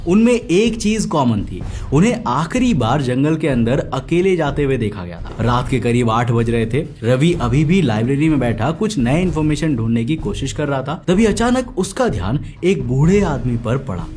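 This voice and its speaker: A man's voice: mid-range voice, big pitch swings, moderate pace, hardly any pauses, darkish tone. A man narrating a scripted horror story as a storyteller. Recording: faint music under the voice. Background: faint background music.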